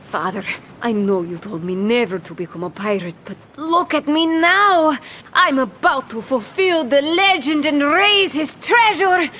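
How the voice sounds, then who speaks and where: An actress playing a bold, ambitious pirate captain in an animated adventure, with a swaggering, sardonic and theatrical delivery. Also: female voice, high-pitched, a little slow. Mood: eager, boastful.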